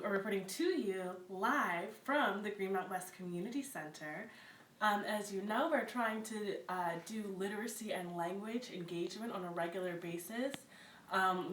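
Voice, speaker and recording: A woman hosts a read-aloud story time for children in a medium-pitched voice. She moves between reading picture books and casual introductions. The room is noticeably echoey, with some faint background noise. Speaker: community center story-time host. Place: echoey room at a community center.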